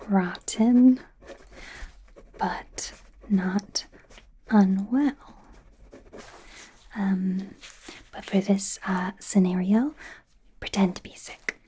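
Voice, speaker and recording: A woman playing a nervous, awkward werewolf doctor in an ASMR roleplay, whispering softly in a hesitant, rambling, self-deprecating way. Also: medium pitch, slight background noise. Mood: instructing, hesitant. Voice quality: soft breathy whisper.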